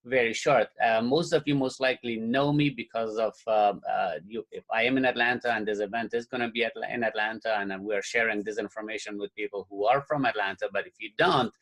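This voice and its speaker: A man from Afghanistan telling his life story on a webinar call, earnest and halting with many fillers. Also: fairly low pitch, steady tone, a bit bright, rough recording. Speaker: webinar guest speaker. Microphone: call or webinar audio.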